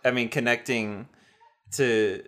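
A man's voice: medium pitch, steady tone, takes it slowly, a bit bright. A man co-hosting a podcast, speaking hesitantly and searching for words. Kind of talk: casual podcast chat.

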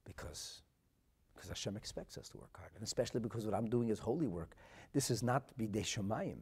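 Male rabbi, North American accent, husky voice, swooping pitch, dramatic sermon delivery, emphatic repetition. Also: expressive. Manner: emphatic and dramatic, swinging from hushed asides to loud declarations; deliberate phrasing with rhetorical repetition. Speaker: rabbi and Torah teacher. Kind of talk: religious sermon.

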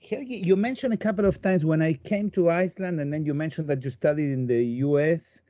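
A man's voice: on the high side, brisk, warm tone, dry recording. A man co-hosting a podcast interview, asking questions in a hesitant, thoughtful way. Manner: hesitant, thoughtful, self-doubting. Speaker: podcast co-host. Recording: dry, dull lo-fi audio.

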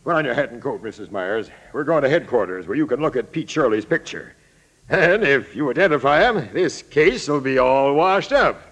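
Gruff police captain. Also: male voice, medium pitch, animated, moderate pace, a bit bright, low-quality recording. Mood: brisk, commanding. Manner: terse, slangy, commanding. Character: gruff, impatient male police captain on a case. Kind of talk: old-time radio drama acting.

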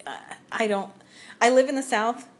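A woman's voice: fairly high pitch, normal speed. A woman from Ohio with a Midwestern accent, co-hosting a podcast in casual, chatty talk and laughing easily. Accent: Midwestern American. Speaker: podcast co-host. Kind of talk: casual chat.

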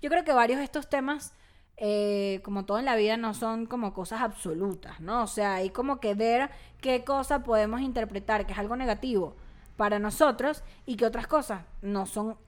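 A Mexican-accented woman hosts a casual podcast, her voice a bit high. She talks in a relaxed, chatty way and now and then reads passages aloud. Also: moderate pace. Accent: Mexican Spanish. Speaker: podcast host. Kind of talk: casual chat.

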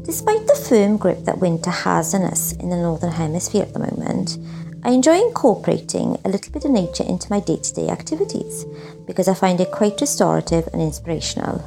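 A woman's voice: on the low side, sing-song, a little fast, gravelly, over music. A woman presenting a literary showcase, introducing pieces and reading her own reflective writing in a scripted style.

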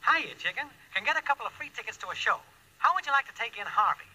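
An actor playing a breezy, cheapskate boyfriend in a 1940s radio comedy, slangy and casual, heard through a telephone effect. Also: male voice, very high-pitched, very animated, quick pace. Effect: telephone filter. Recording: tinny, noisy old radio phone effect.

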